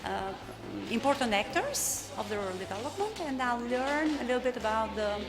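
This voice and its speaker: A woman's voice: fairly high pitch, lively intonation, moderate pace. A woman speaking briefly about her expectations, hesitant and full of uhs. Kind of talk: short self-introduction. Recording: hall reverb with music behind.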